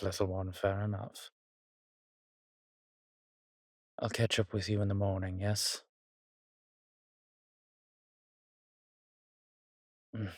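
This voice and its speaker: A man acts as a darkly charming, world-weary vampire in an intimate roleplay audio. His voice sits low and he speaks very slowly, in measured, courtly phrases. The recording is close and dry, addressed softly to a single listener as a fond protector. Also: no room sound. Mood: tired, fond.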